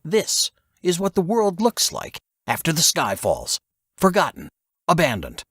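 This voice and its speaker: A man's voice: fairly high pitch, wildly swooping pitch, a little slow, fairly bright tone, breathy edge, no echo, studio quality, pitched above the performer's own voice, heavily acted. A man voicing an irritable, stuffy, intense intelligence agent in an audiobook, with a sneering, emphatic manner. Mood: rising, intense.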